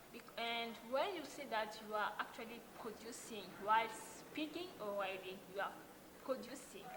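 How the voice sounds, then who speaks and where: A roomy-sounding Kiswahili-speaking woman vlogger. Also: a little high. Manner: earnest, explains by restating points over and over. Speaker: language-learning vlogger. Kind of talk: casual talk to camera. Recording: roomy echo with clear background noise.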